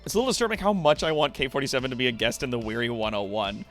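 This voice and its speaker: A man livestreaming in casual chat with viewers, thinking aloud in loose, halting phrases. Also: mid-range voice, fairly bright tone, faint music behind.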